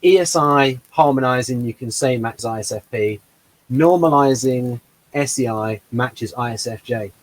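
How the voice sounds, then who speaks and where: A man hosting a casual discussion, making quick, rambling arguments about personality types. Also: medium pitch, low-quality recording. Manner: fast, rambling, argumentative explanations. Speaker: host.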